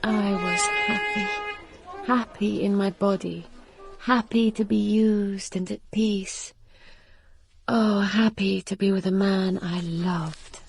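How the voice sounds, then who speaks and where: A woman's voice: medium pitch, fairly even intonation, slow pace, vocal fry, soft background music. An actress in a British radio drama playing a blunt, free-spirited woman in love, intimate and teasing. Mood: wistful, confiding. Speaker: actress and narrator.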